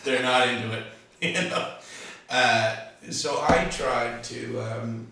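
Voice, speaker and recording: A man's voice: mid-range voice, sharp tone. A man telling casual, laughing stories as a comedy podcast co-host. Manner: laughing storyteller, lots of fillers. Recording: very echoey room, mic at a distance.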